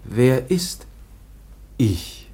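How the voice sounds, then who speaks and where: A man's voice: mid-range voice, big pitch swings, mellow, slightly rough, full character voice. A man playing a bewildered, trusting young foundling in a radio drama, speaking in broken childlike phrases. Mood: timid, groping. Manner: halting broken childlike phrases in third person. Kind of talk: German radio drama acting.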